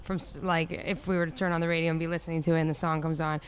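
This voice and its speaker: A young woman band singer with a raspy, low voice, answering questions in a friendly, chatty way. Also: little pitch movement, rapid-fire. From Virginia, US.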